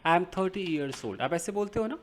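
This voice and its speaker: Lively Indian man teaching English. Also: high voice, slight background noise. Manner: reads English phrases slowly, explains in Hindi with many questions to viewers. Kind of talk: explaining a newspaper article to beginners.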